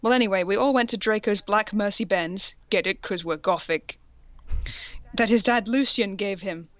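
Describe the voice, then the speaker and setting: A woman's voice: medium pitch, normal speed, no echo, lo-fi sound. A woman reading aloud in a casual comic style, with sardonic, sweary asides and a theatrical touch. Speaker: co-host reading fan fiction aloud.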